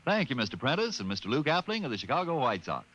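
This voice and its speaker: A man's voice: medium pitch, very animated, quick pace, fairly bright tone, rough recording. A man announcing a sponsor's message in 1950s radio advertising style.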